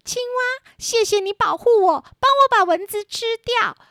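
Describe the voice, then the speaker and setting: A woman's voice: very high voice, animated, a little slow, sharp tone, no room sound, clean studio sound, pitched above the performer's own voice, exaggerated voice. A woman voicing a spoiled, willful young princess with a petulant girlish manner in a children's audio drama. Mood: grateful.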